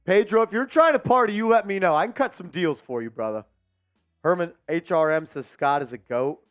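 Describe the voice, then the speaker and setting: Male stream host, high ringing voice, calls plays with excitement over faint music. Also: a little fast, smooth, highs cut off. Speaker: watch party host. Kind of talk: live-stream watch party chat and play-by-play.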